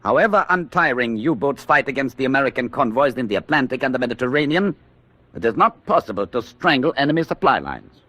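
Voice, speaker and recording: An actor playing a strident, declamatory Nazi official in a wartime radio drama. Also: male voice, medium pitch, expressive, quick pace, bright, forceful tone, no echo. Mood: defiant.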